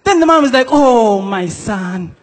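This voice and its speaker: A young man from South Sudan works a comedy stage, playfully acting out the people in his stories. His voice sits very high for a man, and it rings with the long echo of a big hall. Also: expressive, unhurried. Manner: playful, acts out quoted characters. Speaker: stand-up comedian. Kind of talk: stand-up comedy set. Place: comedy stage in a large hall before a laughing audience.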